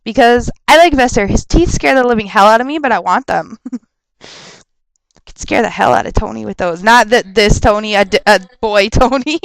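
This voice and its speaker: A woman's voice: on the high side, creaky, no echo, rough recording. Chatty young woman with a stuffy nose. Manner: chatty, rambling, quick to laugh and rant. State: stuffed up with a sore throat. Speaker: vlogger answering viewer questions. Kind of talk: casual rambling webcam vlog.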